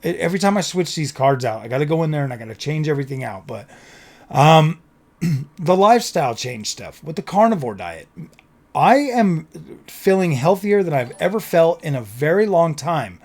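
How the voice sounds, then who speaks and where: A man talking casually to his viewers as a gaming content creator, slightly hoarse, rambling and repeating phrases in an earnest, enthusiastic way. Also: on the high side, big pitch swings, normal speed, a little mellow. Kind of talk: casual vlog talk to viewers.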